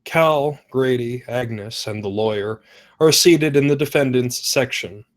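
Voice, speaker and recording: A man's voice: mid-range voice, a little slow. A man reading stage directions aloud as narrator of a script.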